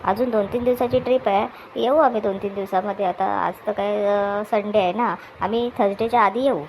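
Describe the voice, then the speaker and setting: A woman voicing an excitable, playful young son in a cartoon family comedy, with an eager childlike manner. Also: mid-range voice, brisk, close to an everyday voice, rough recording. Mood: chatty. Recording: clear background noise, ambience.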